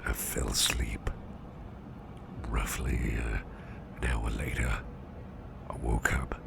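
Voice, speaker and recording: A man playing a gruff but caring, protective boss in an ASMR roleplay, speaking in a gravelly half-whisper and rambling softly and hesitantly to the listener. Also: very deep voice, exaggerated voice. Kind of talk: ASMR roleplay, soft intimate storytelling to the listener. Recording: clearly noisy background hiss or ambience.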